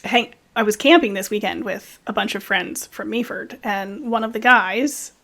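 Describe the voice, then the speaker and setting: A woman hosting a podcast, listening closely and chatting in a relaxed, conversational way. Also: medium pitch, moderate pace, gravelly. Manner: attentive, frequent "mm-hmm", laughs along. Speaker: podcast host. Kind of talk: casual interview chat.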